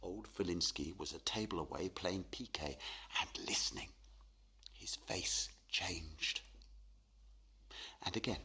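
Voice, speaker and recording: A proud, superstitious painter in a radio play. His low, husky voice broods with self-pity and swings widely between murmur and outburst. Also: fairly bright tone, full character voice. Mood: agitated, worried. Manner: theatrical, brooding, self-pitying. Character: gloomy, superstitious, proud portrait painter and father.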